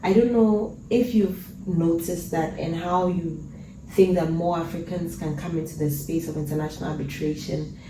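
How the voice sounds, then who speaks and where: A woman's voice: sits low, fairly even intonation, moderate pace, dark tone. A woman hosting an interview, asking questions and backing the guest with frequent listening sounds. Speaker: podcast host, interviewer. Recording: roomy sound, distant mic, faint noise.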